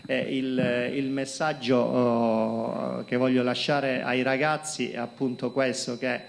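A middle-aged man from Calabria giving a halting talk to students at a lectern, with many fillers and repeated words, into a handheld microphone in a conference hall. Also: mid-range voice, little pitch movement, creaky. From Calabria, Italy. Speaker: company executive presenting to students. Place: conference hall with projector screen.

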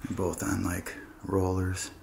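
A man quietly narrating as he walks through an abandoned building, often dropping to a hushed half-whisper. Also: low voice, completely flat delivery, a little slow, gravelly. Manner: hushed, half-whispered remarks while exploring. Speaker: urban explorer vlogger. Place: abandoned funeral home indoors. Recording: noisy camera audio.

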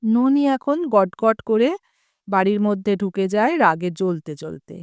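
A woman's voice: mid-range voice, expressive, a little slow, darkish tone, clear, resonant tone, no room sound. A woman telling a humorous short story aloud, giving the quoted lines a little expression.

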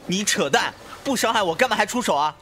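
An actor playing a brash, impulsive but devoted young man in an expressive Chinese costume audio drama. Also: sits high, fast talker, faint music behind. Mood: startled, scornful. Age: young adult.

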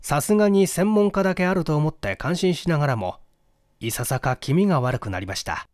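Casting: male audiobook narrator for mystery fiction, fairly high voice, very varied intonation, theatrical yet careful. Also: animated, brisk, darkish tone, dry recording, clean studio sound. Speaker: audiobook reader. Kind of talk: scripted first-person mystery narration with acted dialogue.

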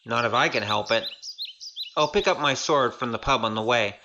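An actor in an audio drama playing a quick-witted young swordsman, wry and coaxing. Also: mid-range voice, bright, forceful tone, muffled recording, slight background noise. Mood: brisk, friendly.

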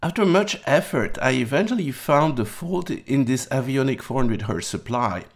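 A man's voice: mid-range voice, big pitch swings, moderate pace, creaky. A man chatting and narrating casually as the host of an electronics workshop video. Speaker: host of electronics restoration channel.

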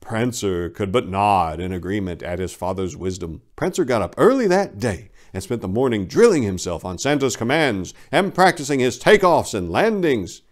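Guy in his 40s doing a hammy dramatic story reading, pitch all over the place. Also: very animated, moderate pace, hardly any pauses. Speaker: dramatic reader, channel host. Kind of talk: theatrical scripted story reading. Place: small indoor room lined with bookshelves. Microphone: lapel mic.

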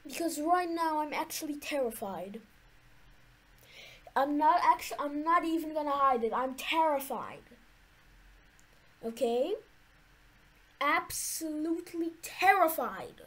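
A woman's voice: sits high, expressive, slow, pauses often, fairly bright tone. A woman giving nervous, jumpy commentary to her viewers while playing a horror game. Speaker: gaming YouTuber.

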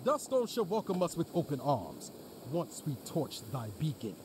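An eager nerdy role-play enthusiast, lively pitch. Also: male voice, normal speed. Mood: mock-heroic. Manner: enthusiastic, theatrical, explanatory. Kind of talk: video game character dialogue, slipping into mock-archaic speech. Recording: game audio with loud car noise.

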